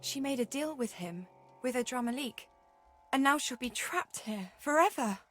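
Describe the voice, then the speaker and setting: Fairly high young woman, anxious earnest game heroine, hesitant, emotional, faint music behind. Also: expressive, normal speed, fairly bright tone. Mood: uneasy. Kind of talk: video game voice acting.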